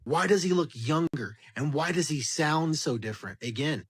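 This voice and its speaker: A man's voice: fairly high pitch, expressive, moderate pace, slightly rough, no room sound, studio quality. A man giving casual, speculative video commentary in a puzzled, questioning manner, often repeating himself.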